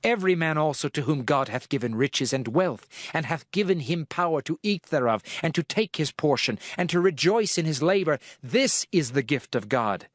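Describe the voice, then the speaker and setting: A man giving a dramatized reading of King James scripture in a solemn, measured, deliberate way. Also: fairly high pitch, lively intonation, no echo, clean studio sound. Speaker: scripture narrator. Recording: music behind the voice. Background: music.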